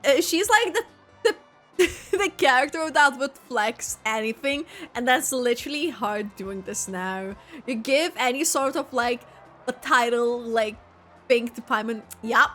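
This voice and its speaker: A woman giving casual, halting, animated reaction commentary as she plays a video game. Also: a little high. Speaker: game streamer.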